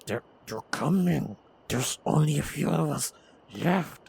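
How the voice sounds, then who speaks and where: A man playing a frightened, hunted man in a dark fantasy, stammering and repeating words. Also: fairly high pitch, expressive, measured pace, vocal fry, husky, pitched above the performer's own voice, full character voice.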